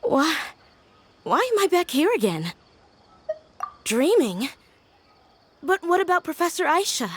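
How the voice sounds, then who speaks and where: A sincere young woman scholar in a video game. Her voice is on the higher side, and she speaks politely with a reflective, sentimental storyteller's manner. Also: very animated, a little slow, long pauses, a little hiss or hum. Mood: groggy, wistful. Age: young adult.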